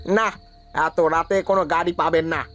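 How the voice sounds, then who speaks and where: A man playing a curt, suspicious, irritable tea-stall keeper in an animated horror story. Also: fairly high pitch, moderate pace, soft background music, natural voice. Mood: irritated.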